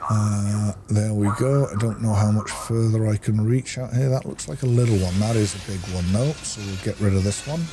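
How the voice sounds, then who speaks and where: A man's voice: fairly low pitch, normal speed, few pauses, noisy background. A man giving a casual gameplay walkthrough, explaining things in practical asides to the viewer. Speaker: gaming video host.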